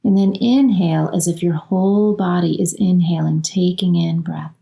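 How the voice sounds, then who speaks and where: A woman leading a guided relaxation as a yoga nidra instructor, speaking soothingly in short, unhurried phrases with long pauses. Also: fairly low pitch, fairly even intonation, creaky, mellow.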